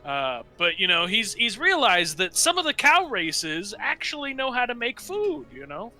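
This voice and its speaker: A man casually describing his roleplaying character off the cuff, halting and hesitant, with tongue-in-cheek humor. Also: extremely high pitch, huge pitch swings, sharp tone, faint music behind. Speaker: player in a tabletop roleplaying game.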